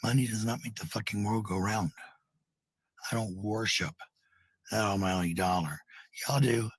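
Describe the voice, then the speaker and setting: A man's voice: on the low side, normal speed, breathy edge, no echo. A middle-aged man talking casually in a rambling monologue, blunt and defensive, with some swearing. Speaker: parent talking about family life.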